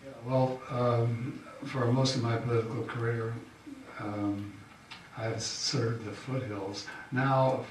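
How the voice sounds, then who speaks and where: An older man, an incumbent county official, giving a halting, folksy campaign speech full of fillers. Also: mid-range voice, flat delivery. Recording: echoey hall from far off, faint hiss.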